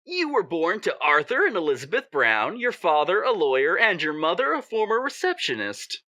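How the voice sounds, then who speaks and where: An actor playing a mock-friendly radio co-host with a showy presenter's delivery, teasing and quietly needling. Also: mid-range voice, big pitch swings, moderate pace, no room sound. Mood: presenting. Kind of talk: audio drama in radio show style.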